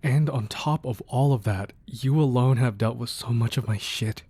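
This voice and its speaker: A man acting a gruff but tender, protective crime boss husband in an intimate, soft-spoken ASMR romance roleplay. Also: mid-range voice, normal speed, dry recording. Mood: remorseful, confessional. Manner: soft, intimate, affectionate murmur.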